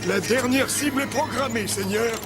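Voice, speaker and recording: A man's voice: extremely high pitch, moderate pace. A man voicing a servile male henchman who reports to his lord in a 1990s French cartoon dub, with a deferential, nervous delivery. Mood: anxious. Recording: cartoon clip under loud music and crowd noise.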